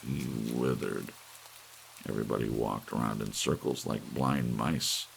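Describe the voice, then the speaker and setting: Man, extremely low pitch, heavy vocal fry, ASMR audiobook narration, soft rain and faint hiss behind. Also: fairly even intonation, a little slow. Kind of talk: ASMR literary story narration.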